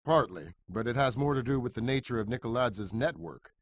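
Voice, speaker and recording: An actor playing a brisk, matter-of-fact intelligence commander giving a mission briefing in a video game. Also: male voice, medium pitch, animated, natural voice. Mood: businesslike. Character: businesslike male intelligence commander briefing an agent.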